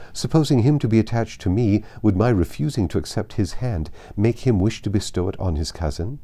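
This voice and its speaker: A man voicing a resolute, self-possessed young gentlewoman in an audiobook, speaking formally and firmly. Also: on the low side. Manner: firm, formal, articulate. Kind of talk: dramatized audiobook reading.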